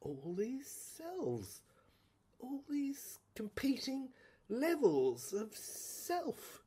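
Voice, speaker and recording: An actress plays a troubled, inward-looking woman delivering a confessional audio-drama monologue. Her speech is slow and husky, circling back on phrases as she searches for words. The pitch swings widely and at moments falls to a hush. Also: huge pitch swings, heavily acted. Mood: searching, intense. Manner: halting, repetitive, searching, with sudden hushed drops. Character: troubled introspective woman obsessively recounting her inner mental breakdown. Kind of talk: dramatic first-person monologue for a serial audio play.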